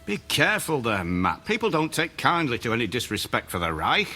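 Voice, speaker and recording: A man's voice: medium pitch, huge pitch swings, brisk, bright, forceful tone, full character voice. An actor playing a friendly, folksy, slightly hesitant mailman in an audio drama. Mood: wary, uneasy. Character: friendly, folksy mailman neighbour, cautious regime loyalist. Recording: music bed under the dialogue.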